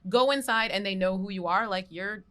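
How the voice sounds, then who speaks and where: A woman's voice: medium pitch, brisk, bright, forceful tone, clear, resonant tone. A young adult woman, a comedian guest on a podcast, chatting casually and rambling through stories with lots of "like". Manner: chatty, many "like" fillers, rambling storytelling. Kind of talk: casual chat.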